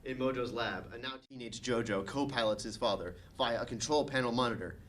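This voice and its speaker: A man's voice: mid-range voice, brisk, fairly bright tone, a little hiss or hum. A man reading scene directions as narrator at a comedic table read.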